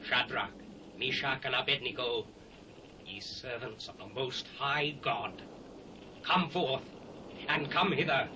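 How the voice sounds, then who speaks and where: A man's voice: mid-range voice, takes it slowly, long pauses, lightly acted. A British actor playing a proud, commanding king of the ancient world in a dramatised scripture reading, declamatory and imperious. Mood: urgent, commanding. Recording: faint hiss, old cassette transfer.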